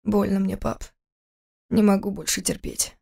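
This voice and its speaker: A man's voice: high-pitched, fairly even intonation, fairly quick, creaky, a little mellow. A woman as an anguished, grieving grown son. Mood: pained, bitter. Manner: pleading, pained. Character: anguished grown son, bitter, broken by grief.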